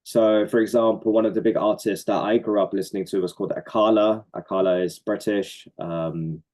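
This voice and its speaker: A man with a low, warm voice speaks in a reflective, earnest way, answering interview questions about his life as a poet and organizer. He pauses on fillers now and then and laughs softly. His tone stays calm and sincere. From Norway.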